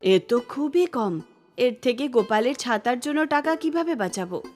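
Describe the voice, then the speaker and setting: A woman's voice: a little high, animated, moderate pace, darkish tone, soft background music, natural voice. A woman voicing a caring, anxious mother in a poor village family for a cartoon. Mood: worried. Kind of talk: cartoon voice acting.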